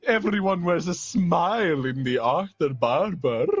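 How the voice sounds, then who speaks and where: Performer doing a cackling villain barber, pompous archaic speech, slow and swooping, husky, dull lo-fi sound. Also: mid-range voice, very animated, no room sound, soft background music, heavily acted. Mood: gleeful menacing.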